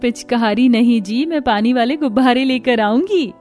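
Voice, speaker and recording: A woman's voice: on the high side, moderate pace, a little mellow, soft background music, lightly acted. A woman voicing a sweet, contented young bride from a poor household in a cartoon story, mild and modest. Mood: cheerful. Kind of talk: Hindi cartoon story dialogue.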